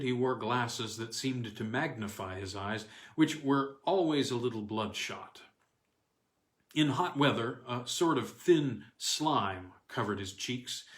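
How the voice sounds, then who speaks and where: A man reading a weird tale aloud as scripted narration, with measured, dramatic phrasing and deliberate pauses. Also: medium pitch. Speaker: audiobook reader. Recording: roomy, echoing sound.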